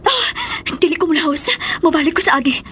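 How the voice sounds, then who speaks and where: An anguished, very high-voiced mother. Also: lively intonation, fast talker, bright, forceful tone, a little hiss or hum, exaggerated voice. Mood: distraught. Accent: Filipino, Cebuano. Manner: emotional, melodramatic. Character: torn, anguished mother resisting a former lover. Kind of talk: Philippine radio romance drama acting.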